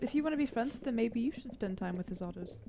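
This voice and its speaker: A woman's voice: on the high side, lively intonation, fast, gravelly, a crowd in the background. A woman playing a tabletop game, bantering casually and playfully with the group.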